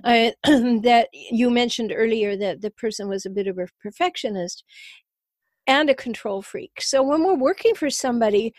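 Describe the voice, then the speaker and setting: A woman podcast host who is losing her voice and clearing her throat, speaking reflectively and deliberately to her listeners. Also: on the high side, a little mellow, no room sound. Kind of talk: reflective advisory talk to listeners.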